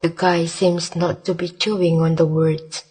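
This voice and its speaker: A woman narrating a scripted radio drama in a deliberate storytelling manner. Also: lowish voice, flat delivery, a little mellow. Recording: music and crowd noise behind. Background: clear music and crowd ambience.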